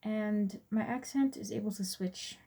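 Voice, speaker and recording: Mellow young American woman presenting. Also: mid-range voice, unhurried, creaky, breathy edge. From US Virgin Islands and Texas, USA. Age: 20s. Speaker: student presenter. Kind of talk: prepared class presentation.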